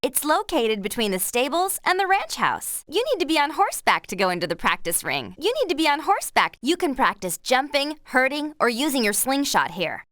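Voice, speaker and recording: A woman's voice: sits high, big pitch swings, a little fast, hardly any pauses, fairly bright tone, no echo, studio quality. A woman playing an upbeat young ranch friend who gives friendly, instructive guidance in a video game. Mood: helpful, upbeat. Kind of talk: video game tutorial acting.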